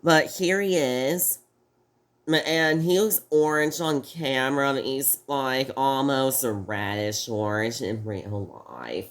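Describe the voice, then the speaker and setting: Slow-talking male reviewer, sick and slightly off-sounding, rambling and halting. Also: medium pitch. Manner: halting, rambling, frequent restarts. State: sick, voice a little off. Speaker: toy review host. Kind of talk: casual video review.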